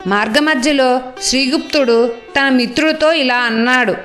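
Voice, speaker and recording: A woman's voice: high voice, normal speed, fairly bright tone, soft background music. A woman reading scripted narration for a children's moral story.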